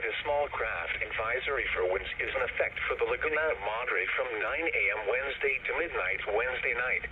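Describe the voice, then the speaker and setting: A synthetic-sounding male announcer reads a scripted weather bulletin over the radio in formal, impersonal, clipped phrases. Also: mid-range voice. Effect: radio broadcast. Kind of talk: scripted weather forecast and marine bulletin readout. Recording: narrow tinny radio band with static.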